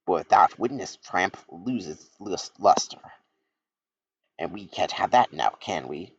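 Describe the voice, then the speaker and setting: A man's voice: low-pitched, lively intonation, takes it slowly, no room sound, heavily acted. Man doing a gloating mad scientist. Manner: pompous, gloating. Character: scheming older male mad scientist villain, gloating. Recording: dull lo-fi recording.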